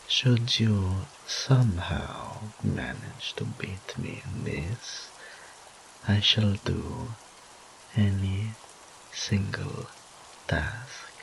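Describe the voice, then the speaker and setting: A man voicing a seductive, arrogant incubus demon in an intimate roleplay audio drama, breathy and often whispering, with teasing pauses. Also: fairly low pitch, a little hiss or hum, exaggerated voice, low-quality recording. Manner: slow, teasing, drawn-out phrases with pauses.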